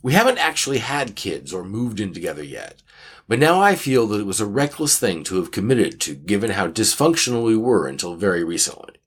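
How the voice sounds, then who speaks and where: Husky, animated man co-hosting a podcast. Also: on the low side, brisk. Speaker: podcast co-host. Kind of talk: casual podcast chat and reading of a listener letter.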